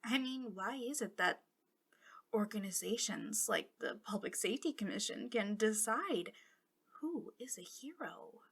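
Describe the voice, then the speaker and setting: A woman voicing a bitter, taunting female villain, a fallen hero, in an ASMR roleplay, breathy and often dropping into whispers, with a mocking, conspiratorial drawl. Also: medium pitch, lively intonation, measured pace, fairly bright tone, exaggerated voice. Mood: indignant.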